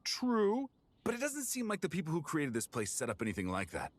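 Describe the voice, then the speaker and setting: Friendly adventurer, wildly animated intonation. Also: male voice, on the high side, a little fast. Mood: easygoing. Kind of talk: video game voice acting. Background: music.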